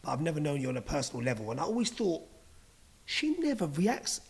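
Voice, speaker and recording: Male co-host, husky, pitch jumping around, keen and curious interviewer. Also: quick pace. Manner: animated, admiring, probing questions. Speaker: podcast co-host. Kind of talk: casual interview chat.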